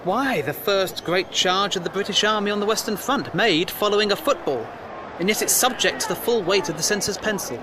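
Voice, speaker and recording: A man's voice: high voice, animated, brisk, noisy background. An actor playing an earnest, questioning First World War newspaperman, well-spoken, formal and wry, in an audio drama. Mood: earnest, probing. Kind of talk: period audio drama acting.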